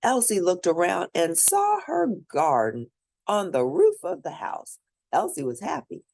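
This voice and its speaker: A woman storyteller reads aloud to young children from a picture book. Her voice is low with some gravel in it, and she swings widely in pitch as she tells it, animated and expressive. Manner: animated, pauses to point out pictures.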